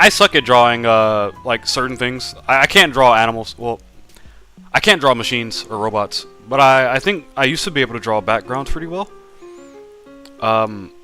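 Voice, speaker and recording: A man's voice: medium pitch, pushed and bright. A young man drawing live on stream, chatting casually with viewers, stammering and repeating words, laughing often.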